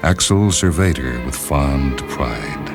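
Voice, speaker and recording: A man with a strikingly deep, bass voice narrates a short story. His delivery is grave, measured and dramatic. Orchestral music plays clearly behind him. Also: expressive. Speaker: narrator and reader.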